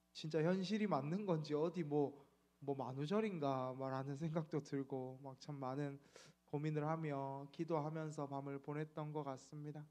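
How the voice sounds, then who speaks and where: Man, thirties, pastor, midweek sermon, earnest, reflective, slightly high voice. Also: darkish tone. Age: 30s. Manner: earnest, reflective, with frequent fillers.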